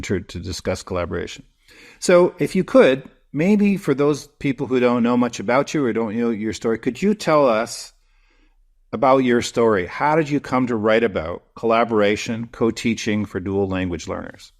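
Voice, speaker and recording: A man's voice: medium pitch, moderate pace. A Canadian man hosting a podcast interview, asking questions in an enthusiastic, informal way. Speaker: podcast host.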